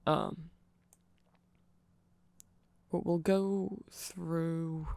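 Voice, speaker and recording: A man rambles through an ASMR explainer in a heavily fried, gravelly voice that sits a little high for a male speaker. He is nerdy and discursive, doubling back to correct himself and checking in as he goes. The sound is very dry and close. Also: little pitch movement, extremely slow, a little mellow, no room sound. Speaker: ASMR creator.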